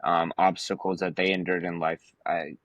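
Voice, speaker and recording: A man's voice: low voice, flat delivery, moderate pace, a bit bright, no room sound. A man from Afghanistan talking as a podcast guest in a casual, emphatic and slangy storytelling way.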